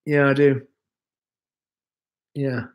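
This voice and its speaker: A man's voice: medium pitch, drawn-out words, dull audio. Man rambling on a livestream. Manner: relaxed, rambling, chatty with many fillers. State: losing his voice, ill. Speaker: livestream host. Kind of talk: casual livestream chat reading viewer comments.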